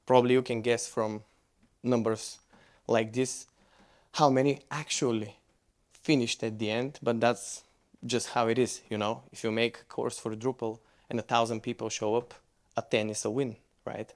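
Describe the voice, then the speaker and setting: Male presenter, English, relaxed, conversational, stumbles and restarts mid-sentence. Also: mid-range voice, normal speed. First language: Bulgarian. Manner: loose, conversational, with frequent restarts. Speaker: conference speaker. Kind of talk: conference presentation with Q&A.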